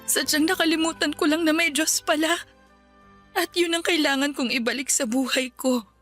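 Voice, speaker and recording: An actress playing a remorseful young woman covered in sores, speaking softly and apologetically in a radio drama. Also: sits high, steady tone, moderate pace, soft background music. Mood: downcast. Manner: soft, downcast and apologetic.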